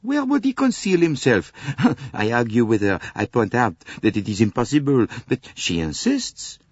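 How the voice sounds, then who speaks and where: A man's voice: mid-range voice, big pitch swings, normal speed, dry recording, highs cut off, voice pushed up. A man voicing an exasperated French-accented train conductor, dramatic and put-upon, in an audiobook.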